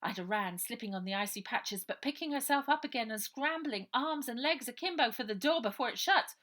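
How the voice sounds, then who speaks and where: A woman's voice: fairly high pitch, lively intonation, fairly quick, fairly bright tone. A woman reading her children's novel aloud as a dramatic storybook narration.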